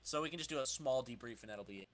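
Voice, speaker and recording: A man's voice: medium pitch, racing, no room sound. A man hosting a podcast, chatting casually and explaining trivia with enthusiasm. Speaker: podcast host. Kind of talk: casual chat with read-out trivia.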